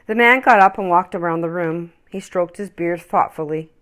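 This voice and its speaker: A woman reading a children's story aloud with expressive, emphatic, dramatic phrasing. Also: fairly low pitch, normal speed.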